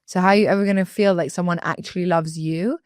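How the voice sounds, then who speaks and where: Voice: medium pitch, fairly even intonation, fast talker, clean tone, dry recording, clean studio sound. A podcast co-host who talks earnestly and reflectively, often explaining with a filler "like". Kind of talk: casual relationship podcast chat.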